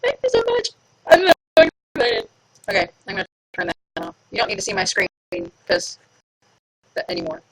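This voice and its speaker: A woman's voice: medium pitch, wildly swooping pitch, normal speed, gravelly, pauses often, husky, low-quality recording. A woman hosting a live stream from home, chatting casually and playfully with her viewers and teasing herself. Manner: chatty, playful, self-mocking. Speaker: livestream host.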